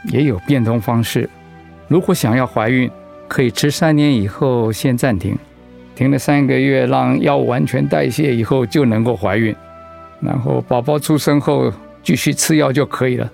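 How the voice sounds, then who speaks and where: An actor as a calm, gravelly male doctor. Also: medium pitch, soft background music, lightly acted. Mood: measured, advising. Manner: measured, explanatory, professional. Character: calm, measured male doctor delivering a diagnosis. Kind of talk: radio drama acting.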